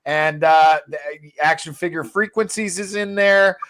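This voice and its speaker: A man with a moderately high voice talks on a livestream as a guest. He speaks informally, promoting his show in a chatty way. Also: steady tone, unhurried, a bit bright. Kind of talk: casual promotional livestream chat.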